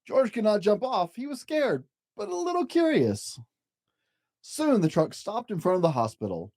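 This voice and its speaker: Children's picture-book narrator: mellow, gentle tone with lively, swooping intonation. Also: medium pitch, normal speed. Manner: animated, gentle, addressing young children. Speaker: storyteller reading a children's book aloud.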